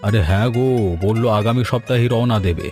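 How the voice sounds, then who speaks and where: A man's voice: mid-range voice, steady tone, fast talker, a little mellow, faint music behind, lightly acted. A man playing a considerate, organised middle-aged village father in a cartoon. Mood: glad, planning. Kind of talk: cartoon voice acting.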